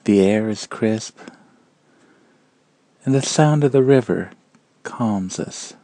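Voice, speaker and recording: A man guiding a meditation in a calm, measured and gentle manner, leaving long pauses between phrases. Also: lowish voice, no echo. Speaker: meditation guide. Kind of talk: guided meditation narration.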